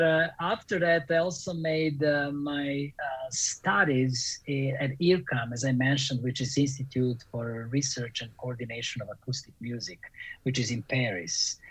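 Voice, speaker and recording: A middle-aged man with a Serbian accent, a composer and professor, answering interview questions in a halting, reflective way with many pauses. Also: mid-range voice, husky, no room sound, low-quality recording.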